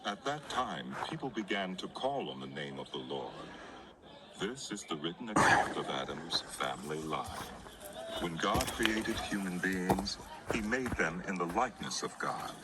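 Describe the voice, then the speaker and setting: A man giving a solemn, measured narration of a dramatized Bible reading. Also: low voice, big pitch swings, noisy background. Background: prominent music.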